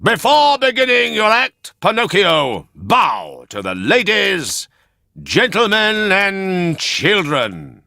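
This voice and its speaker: Showy, high-pitched circus ringmaster. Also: male voice, huge pitch swings, slow, creaky, pushed and bright, dry recording, studio quality, full character voice. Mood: commanding. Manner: grand, booming announcements, commanding. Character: showy male circus ringmaster announcing his act.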